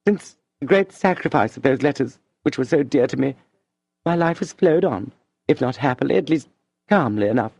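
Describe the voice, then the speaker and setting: Man doing a tender tearful woman, soft pleading manner, raised voice, dry close sound, audiobook. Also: medium pitch, lively intonation, normal speed, husky, no room sound, voice pushed up. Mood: pleading calm. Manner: soft, pleading, emotional delivery. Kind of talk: audiobook reading of a classic novel.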